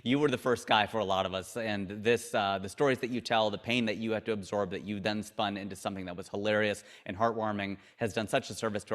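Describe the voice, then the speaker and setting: A man's voice: fairly low pitch, a bit bright. A man hosting an interview in an effusive, appreciative way. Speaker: interview show host. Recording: long reverberant room echo.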